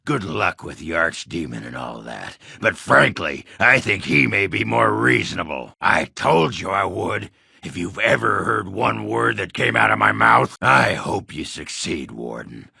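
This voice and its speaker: An actor playing a gruff, crude, boastful dwarf berserker in a fantasy video game, with a rough, husky voice and a blunt, swaggering manner. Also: male voice, fairly low pitch, sing-song, measured pace, bright, forceful tone, no room sound, clean studio sound, exaggerated voice. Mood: angry, bitter.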